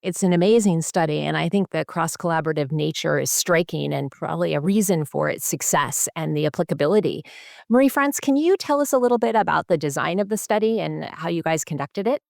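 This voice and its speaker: A woman hosts a medical podcast interview in a low-pitched voice. There is plenty of fry in it, and the sound is close and dry. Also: animated, a little fast, a little mellow, no room sound. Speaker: podcast host, medical journal editor.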